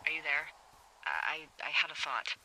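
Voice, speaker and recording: An actress playing a wry, watchful fire lookout in a video game, talking conversationally and sardonically over a two-way radio. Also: female voice, low-pitched, moderate pace, pushed and bright, slight background noise, exaggerated voice, poor audio. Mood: tense, guarded. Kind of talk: naturalistic video game dialogue.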